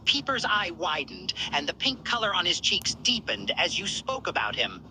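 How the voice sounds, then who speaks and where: A woman's voice: fairly low pitch, huge pitch swings, normal speed, sharp tone, a little hiss or hum, low-quality recording. A woman reading a fan-fiction story aloud as narrator.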